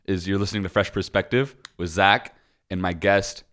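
A man's voice: low voice, moderate pace, fairly bright tone. A young man hosting a casual chat, upbeat and friendly as he asks questions. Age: young adult. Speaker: host.

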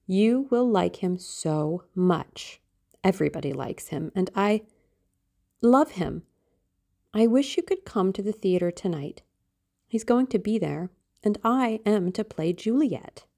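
A woman's voice: mid-range voice, expressive, a little slow, vocal fry, mellow. A woman voicing a dreamy, impulsive teenage girl in love, theatrical and exclamatory, in an audiobook. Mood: rapturous. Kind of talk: audiobook character acting.

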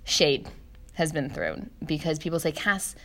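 A woman's voice: low-pitched, sing-song, measured pace, fairly bright tone. A woman co-hosting a podcast in casual, rambling chat, laughing often. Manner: chatty, giggly, rambling. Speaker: podcast co-host.

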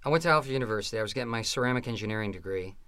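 A middle-aged man from New York State, a stand-up comedian chatting in an interview in a rambling, halting way with many restarts. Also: fairly low pitch, lively intonation, a bit bright, clean tone, dry recording. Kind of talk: casual interview chat.

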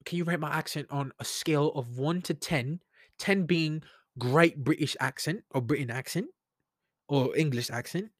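A man's voice: fairly high pitch, moderate pace, no echo, studio quality, lightly acted. A man putting on a mixed British-Australian accent. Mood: playful.

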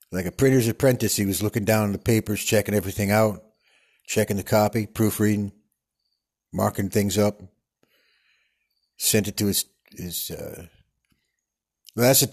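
Man voicing an old Texan-accented Western actor, low-pitched voice, a meandering storyteller who keeps losing the thread. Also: moderate pace, long pauses. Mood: rambling. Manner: meandering, digressive storytelling with many stumbles. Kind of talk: improvised comedic character monologue. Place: studio.

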